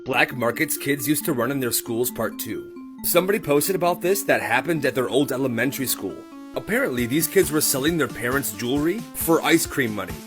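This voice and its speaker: A young American man telling stories casually and comically in slangy, animated speech for social media. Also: mid-range voice, brisk, over music. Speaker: short-video storyteller.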